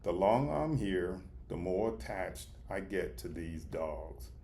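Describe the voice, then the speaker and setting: A man reading the part of a soft-hearted, hesitant front desk worker at an animal shelter in a storybook, earnest and tentative. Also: sits low, lively intonation, slow pace, a little hiss or hum, heavily acted. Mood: attached.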